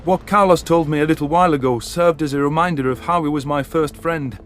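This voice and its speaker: High-voiced man narrating dramatic science fiction. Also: brisk. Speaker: audiobook narrator. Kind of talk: dramatic first-person sci-fi story narration. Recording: narration over background music.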